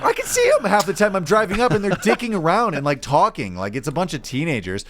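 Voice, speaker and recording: An American man co-hosting a podcast in casual chat, telling anecdotes in an animated way with some swearing. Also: sits high, fast talker. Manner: animated storytelling, acting out exchanges, swearing. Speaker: podcast co-host.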